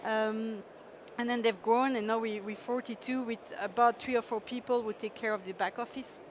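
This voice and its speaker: Presenter, woman, higher voice, hesitant with fillers, faint street noise behind. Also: fairly even intonation, normal speed. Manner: hesitant, frequent fillers, laughs easily. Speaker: company presenter. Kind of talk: startup pitch presentation.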